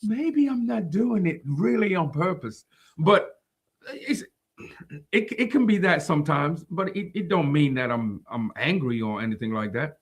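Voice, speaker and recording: Male co-host, loud natural voice, pitched a little high, animated storyteller. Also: measured pace, breathy edge. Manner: animated storyteller, acts out quotes, laughs often. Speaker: podcast co-host. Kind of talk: casual faith discussion.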